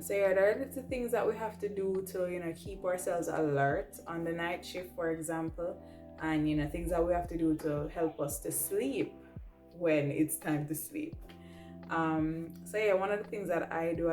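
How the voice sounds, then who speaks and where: A woman's voice: deep voice, darkish tone. A young adult woman, presenting casually to camera in a conversational, emphatic way, often checking in with the listener. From Jamaica. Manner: conversational, emphatic, ends points with "right?". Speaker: vlogger, air traffic control trainee and pilot. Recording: roomy echo, music underneath.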